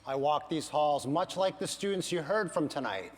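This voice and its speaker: A man's voice: on the high side, lively intonation, moderate pace. Earnest man speaking in a reverberant hall. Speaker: university financial aid administrator. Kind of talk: prepared speech at a campaign event. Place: large event hall.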